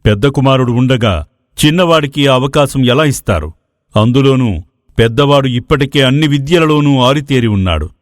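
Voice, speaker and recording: A man voicing a patient, fatherly maharaja with measured, formal speech in a dramatized moral story. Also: medium pitch, animated, dry recording, studio quality, lightly acted. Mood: troubled, reasoning.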